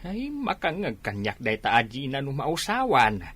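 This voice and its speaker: Male actor as exasperated middle-aged village father, Ilocano accent, husky and swooping, scolding radio drama delivery. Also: mid-range voice, wildly swooping pitch, normal speed, a bit bright, exaggerated voice. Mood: disapproving. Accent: Filipino, Ilocano.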